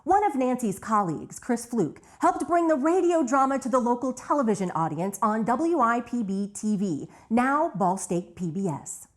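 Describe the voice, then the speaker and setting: Female documentary narrator, higher voice with animated intonation, scripted read. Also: moderate pace, few pauses.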